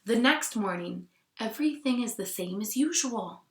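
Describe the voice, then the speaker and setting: A woman teacher reading a picture book aloud to children, expressively, with hushed asides. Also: on the high side, lively intonation, moderate pace, a little hiss or hum. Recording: roomy, echoing sound.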